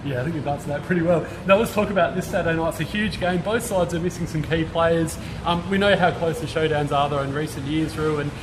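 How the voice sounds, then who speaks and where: An Australian man hosting an interview, quick and chatty, calling the guest mate. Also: on the high side, steady tone. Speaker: podcast host. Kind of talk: casual interview questions. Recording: background noise.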